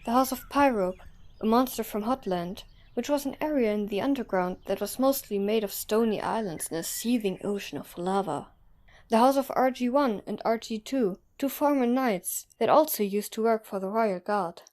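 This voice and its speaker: A woman's voice: fairly high pitch, moderate pace, a little mellow. A woman narrator reading scripted story narration for an audio drama.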